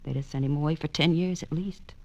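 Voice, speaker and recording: A woman's voice: deep voice, steady tone, a little fast. An American actress playing a cool, rueful woman in a 1940s radio noir drama, speaking with dry resignation. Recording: dull vintage radio recording.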